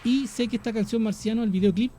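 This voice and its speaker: A Chilean man hosts a radio show, talking fast in a dark, warm voice that sits fairly high. He cuts in eagerly with quick remarks. Faint music sits in the background. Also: fairly even intonation. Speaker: radio host. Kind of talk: radio talk show hosting.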